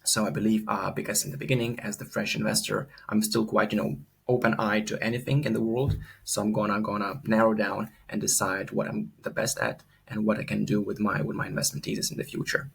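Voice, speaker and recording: A man's voice: lowish voice, little pitch movement, brisk, gravelly, few pauses, husky. A young man from Lithuania, giving an earnest, unscripted course testimonial full of fillers and repeated words.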